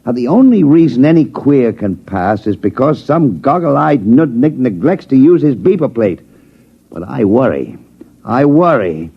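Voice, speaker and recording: A sour veteran police chief grumbles and speechifies with exasperated sarcasm. His pitch sits in a middle male range. It is 1950s radio acting on a dull old recording. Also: big pitch swings, measured pace, gravelly, breathy edge, full character voice. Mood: exasperated, sardonic. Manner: grumbling, blustery, sardonic speechifying.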